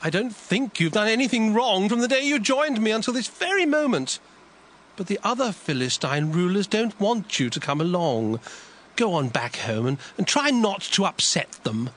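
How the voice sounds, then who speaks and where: Man acting an earnest, high-voiced ancient king. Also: big pitch swings, moderate pace, a bit bright, exaggerated voice. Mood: earnest, reassuring. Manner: earnest, emphatic. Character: earnest, loyal ancient Philistine king defending his soldier. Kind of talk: dramatized Bible audio acting.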